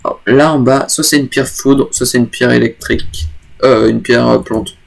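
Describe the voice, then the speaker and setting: A man at home giving loose, joking commentary over a game, often stopping to correct himself. His voice sits at an ordinary pitch with a lot of gravelly fry. A cold leaves it stuffy. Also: fairly even intonation, normal speed. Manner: rambling, self-correcting, joking. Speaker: gaming YouTuber. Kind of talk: casual let's play commentary.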